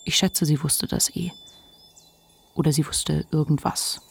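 An actor playing a reflective, ashamed narrator confiding a memoir in a measured literary radio monologue. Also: medium pitch, steady tone, creaky, husky, full character voice. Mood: reflective, confessional.

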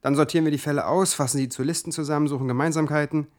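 A man's voice: fairly high pitch, fairly even intonation, rapid-fire, darkish tone, natural voice. Brash young hacker in a TV drama, impatient and cocky, played by a man. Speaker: hacker helping a journalist. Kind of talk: scripted TV drama acting.

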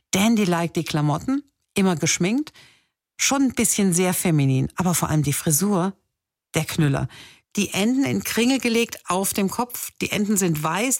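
A woman presenting a radio music feature, telling personal stories in a lively, chatty way. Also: fairly low pitch, no room sound, clean studio sound. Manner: lively storytelling, chatty asides. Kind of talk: personal scripted narration.

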